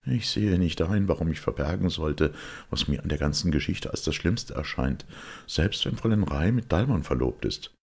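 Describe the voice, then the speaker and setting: A man voicing a gloomy, anxious young secretary in an audiobook, troubled and hesitant, with a husky voice. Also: extremely low pitch, lively intonation, moderate pace, darkish tone, pitched above the performer's own voice, full character voice.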